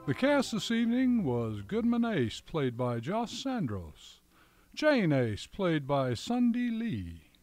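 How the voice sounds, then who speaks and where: A man's voice: on the high side, wildly swooping pitch, slow pace, darkish tone, dry recording, faint music behind. A man announcing for radio, reading scripted credits and sponsor copy.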